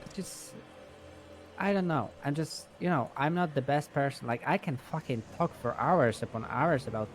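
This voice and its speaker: A man chatting casually and improvising in an online roleplay game, rambling and hesitant, with frequent swearing. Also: medium pitch.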